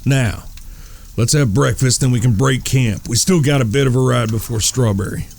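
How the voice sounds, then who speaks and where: An actor playing a stern, protective outlaw older brother in a Western audio drama, with an American Southern accent and a folksy, commanding way of talking. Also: male voice, mid-range voice, moderate pace, creaky, a little mellow, slight background noise, lightly acted.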